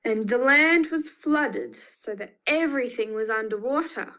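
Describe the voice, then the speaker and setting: A woman's voice: a little high, expressive, unhurried, a bit bright, low-quality recording. A woman with an Australian accent reading a picture book to young children, expressively, with emphatic repetition. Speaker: children's storyteller.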